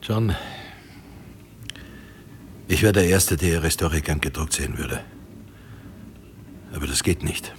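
An actor playing an American army colonel who runs a newspaper in a radio drama, measured, emphatic and condescending, with deliberate pauses. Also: male voice, rumbling low, slight background noise. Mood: patronising, firm.

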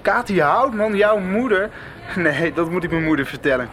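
An actor playing a touchy but easygoing teenage schoolboy in a radio soap. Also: male voice, high-pitched, moderate pace, a bit bright, slight background noise. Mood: surprised, casual.

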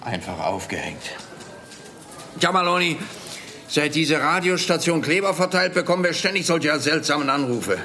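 A man's voice: a little high, expressive, normal speed, sharp tone, a little hiss or hum. An actor playing a grumpy, overworked policeman in a radio crime comedy, indignant and sardonic. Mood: grumbling, dry. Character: grumpy, overworked, exasperated male police officer. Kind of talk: German-language radio crime comedy acting.

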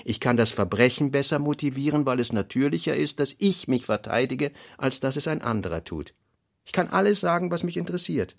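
A man reading a writer's notebook for radio in a deliberate, reflective way, pausing between phrases. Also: mid-range voice, lively intonation, creaky. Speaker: reader.

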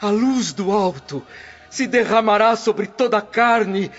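High-voiced, solemn prophetic preacher. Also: male voice, slow pace. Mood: exhorting. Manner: oratorical, grave, declamatory. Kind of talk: sermon in radio drama. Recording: dull lo-fi radio drama, music behind.

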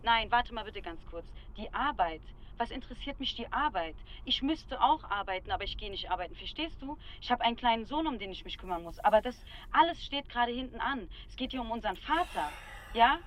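A woman's voice: fairly high pitch, animated, a little fast, a bit bright, poor audio. An actress playing an anxious, insistent sister who pleads urgently with her brother in a radio drama. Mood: exasperated, urgent. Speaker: sister on a phone call. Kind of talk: naturalistic radio drama acting. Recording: faint background noise.

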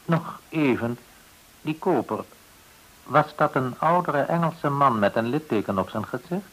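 A man's voice: mid-range voice, expressive, a little slow, a bit bright, low-quality recording. An actor in a radio play playing a cool, probing male boss of shady dealings, curt and controlled. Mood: cool, commanding.

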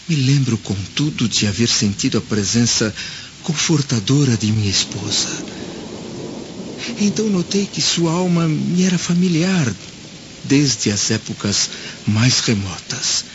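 A man's voice: mid-range voice, close to an everyday voice. Troubled Roman senator played by a man, middle register, grave and anguished radio-drama style, dull recording. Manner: solemn, anguished, theatrical recounting. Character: troubled Roman senator recounting a haunting vision of past life. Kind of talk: dramatic radio play acting. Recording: dull lo-fi audio with loud storm noise.

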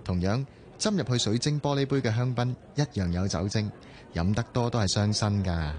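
Radio drama role: male actor as a caring, earnest company boss and friend, fairly deep, Hong Kong accent. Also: moderate pace, darkish tone, natural voice. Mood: concerned, gently chiding.

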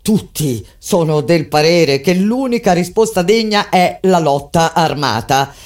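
A woman playing a grave resistance fighter, narrating a theatrical monologue in a solemn, deliberate and emphatic way. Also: on the low side, animated. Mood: grave, measured. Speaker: stage actress narrator. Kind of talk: dramatic theatrical monologue over live music. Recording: loud live music under the voice. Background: guitar and instrumental music.

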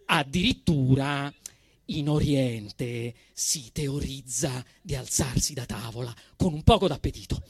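A man's voice: mid-range voice, a little slow, husky, dry recording. A male actor with a Roman Italian accent delivers a theatrical satirical monologue, telling it in an emphatic, indignant, mock-solemn manner with dramatic swings. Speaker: actor performing a comic monologue.

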